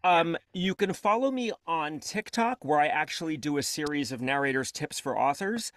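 A man's voice: a little high, moderate pace. A man who narrates audiobooks, talking expressively on a video call from a home studio with acoustic foam, with a headset. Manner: expressive, gestures a lot. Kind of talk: animated panel talk. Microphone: earbud headset on video call.

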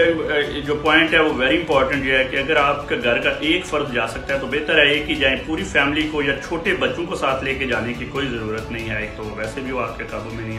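Bright-toned man giving advice in a roomy room. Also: medium pitch. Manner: measured, with frequent pauses and fillers. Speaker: commentator. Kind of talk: advice talk to camera. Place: small room at home. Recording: roomy small room with faint music.

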